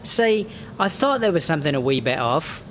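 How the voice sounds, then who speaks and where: A man's voice: a little high, big pitch swings, normal speed, slight background noise. A man playing a wary, sly British rapper who smuggles on the side, in a comedic audio drama, with a British accent.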